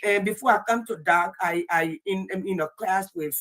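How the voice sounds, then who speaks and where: Bright-voiced woman telling an emphatic story. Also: mid-range voice, normal speed. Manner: rambling, emphatic, repeats words and checks in with "okay". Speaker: teacher and guest speaker. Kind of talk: casual emotional testimony.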